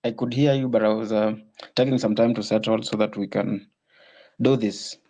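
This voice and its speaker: A man in his twenties from Kenya, a guest on a political talk show, speaking earnestly and a little haltingly. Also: on the low side, fairly even intonation, crowd noise. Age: 20s. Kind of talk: casual interview talk.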